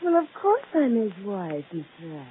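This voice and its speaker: A woman's voice: medium pitch, wildly swooping pitch, normal speed. A woman playing a sweetly scheming young woman who poses as a doting wife, cooing in 1940s radio style. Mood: sweetly insistent. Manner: cooing, sugary. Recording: tinny, hissy old radio recording.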